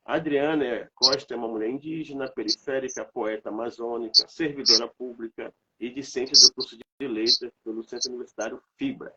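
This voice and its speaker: A man's voice: mid-range voice, fairly even intonation, a little fast, few pauses, muffled recording, crowd noise. A man, congested from a cold, hosting a live stream in a casual, rambling way with many fillers.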